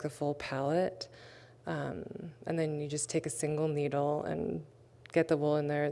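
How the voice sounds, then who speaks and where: Low, gravelly-voiced woman artist. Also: darkish tone. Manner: hesitant, many fillers, casual. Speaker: artist presenting her work. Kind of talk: livestream artist talk.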